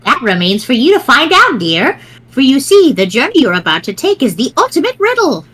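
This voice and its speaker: A woman's voice: sits high, huge pitch swings, fairly quick, a bit bright, slightly rough, exaggerated voice. A woman voicing a sweet old grandmother ghost in an amateur webcomic reading, riddling and doting in a theatrical, instructive way. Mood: cryptic, doting.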